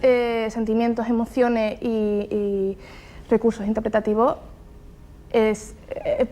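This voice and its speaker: Andalusian woman, actress, interview, slightly high voice, thoughtful delivery. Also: little pitch movement, slow pace, dark tone. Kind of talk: interview talk.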